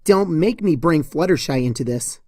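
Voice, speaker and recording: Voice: mid-range voice, animated, moderate pace, a little mellow, no room sound, natural voice. A storyteller voicing an exasperated young female cartoon pony heroine, indignant and scolding, in a story reading. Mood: indignant, annoyed.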